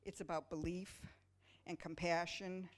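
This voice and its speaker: A woman's voice: lowish voice, fairly even intonation, a little slow. A woman giving an informal, somewhat hesitant welcome speech to a congregation as the director of an amateur play. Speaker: amateur play producer and director introducing a performance. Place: church during a Sunday service.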